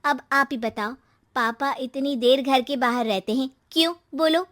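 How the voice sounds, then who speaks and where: A woman's voice: high-pitched, normal speed, a bit bright. A woman voicing a scheming, jealous young daughter in a storybook tale, insinuating and complaining.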